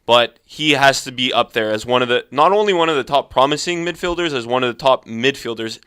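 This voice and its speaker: A man's voice: mid-range voice, expressive, fairly quick, sharp tone, clean tone. A man hosting a sports podcast, speaking confidently and emphatically with firm opinions. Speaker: podcast host. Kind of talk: casual sports chat.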